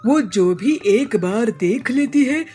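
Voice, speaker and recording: A woman's voice: a little high, animated, slow, mellow, slightly rough, heavily acted. A woman voicing a poor, humble male bird rickshaw driver, earnest and deferential, in a children's cartoon story.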